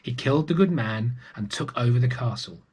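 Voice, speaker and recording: A man voicing a kindly old woman telling of past wrongs in a solemn, deliberate way for a children's storybook. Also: mid-range voice, expressive, a little mellow, breathy edge. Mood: grave, urging.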